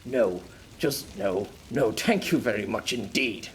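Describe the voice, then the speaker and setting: A man's voice: lowish voice, lively intonation, a little slow, slight background noise. A man voicing a haughty, irritable author in his fifties, pompous and curt, in an audiobook. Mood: displeased. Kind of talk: audiobook character voice.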